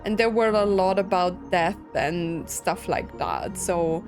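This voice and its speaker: A woman's voice: fairly low pitch, slow pace. A woman giving casual, informal live commentary on a game, thinking aloud as a streamer. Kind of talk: casual live reaction commentary over gameplay. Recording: game music under the voice. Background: game music and dialogue.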